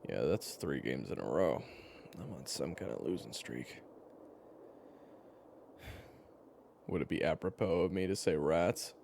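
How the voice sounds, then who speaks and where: A man playing a weary but gentle storyteller with a regretted past, talking casually and haltingly to the listener in an intimate audio roleplay. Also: rumbling low, lively intonation, creaky, a little hiss or hum, full character voice. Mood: playful grumbling. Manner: casual, halting, with sighs and pauses.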